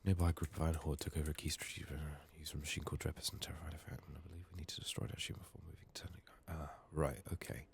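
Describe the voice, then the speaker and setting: A soft-spoken man giving unhurried ASMR game commentary, musing to himself and sometimes slipping into a whisper. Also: extremely low pitch, level tone, creaky. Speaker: gaming streamer, ASMR host.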